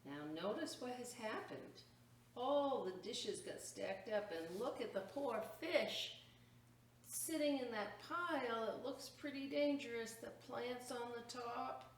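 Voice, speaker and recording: Slow-paced woman reading a picture book aloud. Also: a little high. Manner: expressive, exclaiming, pausing to point out pictures. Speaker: storytime reader at a library. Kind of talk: animated picture-book read-aloud with asides to children. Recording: roomy echo, distant mic, faint noise.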